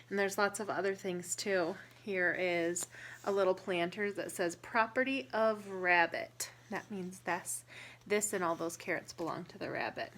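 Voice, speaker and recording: A woman reading a picture book aloud to young children, pausing to explain and ask questions in a deliberate, instructive way. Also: on the low side, a bit bright.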